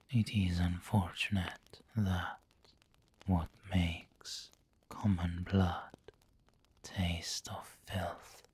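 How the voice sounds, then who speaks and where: A man playing a refined, possessive vampire lord who dotes on the listener in an intimate, whispery ASMR roleplay, speaking formally and deliberately. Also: sits low, a little hiss or hum. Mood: disdainful. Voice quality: breathy, whispery, husky. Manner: slow, deliberate, formal, pausing mid-phrase. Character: aristocratic male vampire lord, possessive, doting, refined and snobbish.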